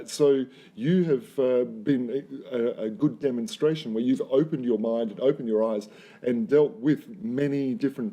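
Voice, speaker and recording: A man hosting an interview, summing up his guest's answers in a measured, encouraging way. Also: mid-range voice, warm tone. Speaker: interviewer host.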